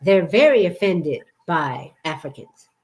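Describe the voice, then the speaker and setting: A woman's voice: lowish voice, very animated, a little slow, a little mellow. A woman hosting a casual podcast conversation, encouraging and emphatic, who repeats phrases for effect. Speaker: podcast host.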